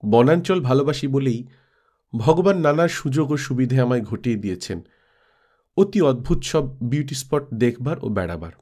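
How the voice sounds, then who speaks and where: A man narrates in the manner of an audiobook storyteller. His voice sits at an average pitch for a man, and he reads composed literary prose from a script. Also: animated, normal speed, a little mellow. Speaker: storyteller reading a classic audiobook.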